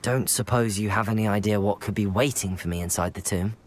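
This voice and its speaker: A man voicing a young male wizard student hero in a video game, inquisitive in dialogue and shouting spells in battle. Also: lowish voice, brisk, slight background noise, close to an everyday voice. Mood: curious. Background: loud game music.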